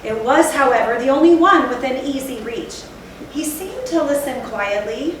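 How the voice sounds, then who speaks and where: A high-pitched, fearful Gothic storyteller acted by a woman speaks with deliberate, dramatic phrasing. The voice comes from far off in a very echoey theatre, with faint noise. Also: animated. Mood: suspenseful. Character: haunted, fearful narrator recalling a night of horror. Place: theatre stage, recorded from a distance. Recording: echoey hall, distant mic, faint noise.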